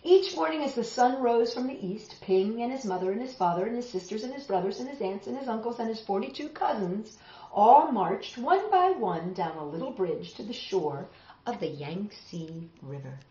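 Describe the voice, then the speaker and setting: A middle-aged woman hosts a children's storytime in a roomy, slightly muffled home recording. Her reading is expressive, with a lively pitch that rises and falls widely. She speaks directly to young listeners in a dramatic storytelling manner. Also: moderate pace. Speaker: children's storytime host and reader. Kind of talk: expressive picture-book read-aloud for children. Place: small home room, indoors, seated on a sofa. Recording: roomy, slightly dull home video sound.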